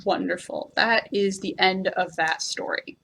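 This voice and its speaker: A woman reading a children's story aloud as a storyteller, in a gentle, expressive manner. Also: medium pitch, measured pace, gravelly, breathy edge. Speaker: library storyteller.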